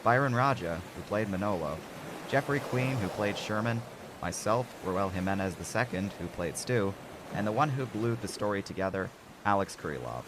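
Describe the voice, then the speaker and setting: Low-voiced male narrator, noisy backdrop. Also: lively intonation, moderate pace. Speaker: narrator, host. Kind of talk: scripted dramatic narration.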